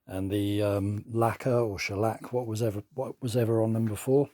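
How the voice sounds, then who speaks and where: A man, losing his voice, casually narrating his workshop restoration work in a soft, trailing way. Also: lowish voice, flat delivery, normal speed. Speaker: workshop host. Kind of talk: casual narration while working.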